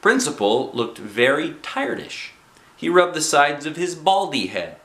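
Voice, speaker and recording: A man reading a children's book aloud as an animated storyteller, with expressive, dramatic phrasing. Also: on the high side, unhurried, roomy sound.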